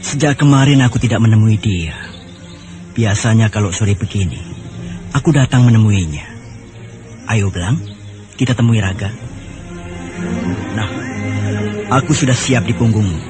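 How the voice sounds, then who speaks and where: A man's voice: fairly low pitch, moderate pace, long pauses, natural voice. An actor playing an earnest young man in a radio drama, musing aloud to himself as he searches. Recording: loud music behind.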